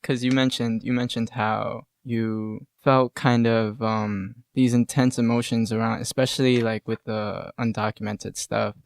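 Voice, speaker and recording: A man's voice: medium pitch, level tone, measured pace, gravelly, hardly any pauses, dry recording, clean studio sound. A man interviewing on a podcast in a casual, thoughtful way, tentative and often repeating words.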